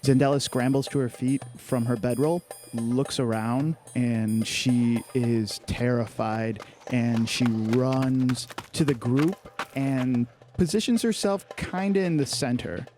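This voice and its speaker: A man's voice: medium pitch, takes it slowly, a little mellow. A man playing a tabletop game, casually describing what his characters do. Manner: casual, narrates character actions. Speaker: player. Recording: faint music underneath.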